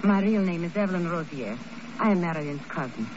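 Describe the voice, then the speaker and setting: A woman's voice: fairly low pitch, expressive, brisk, close to an everyday voice. An actress playing an earnest, anxious female ally of a secret agent in 1950s radio drama, with careful, formal phrasing. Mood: explaining. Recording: muffled, noisy old radio recording.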